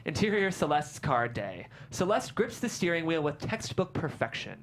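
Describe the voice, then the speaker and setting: A woman's voice: low voice, sing-song, moderate pace, background noise. A woman narrating stage directions from a script at a table read.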